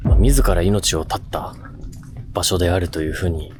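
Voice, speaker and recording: A man's voice: low voice, moderate pace, noisy background. A man hosting a ghost-spot exploration, explaining the location outdoors and chatting casually with a companion. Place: outdoors on location in a seaside park at night.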